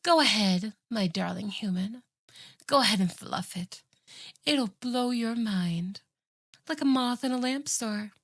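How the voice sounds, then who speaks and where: A woman's voice: on the high side, animated, unhurried, no echo, clean studio sound. A woman voicing a clingy, boastful, obsessive fox girl in an ASMR roleplay, coaxing and doting in a theatrical way. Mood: coaxing, devoted.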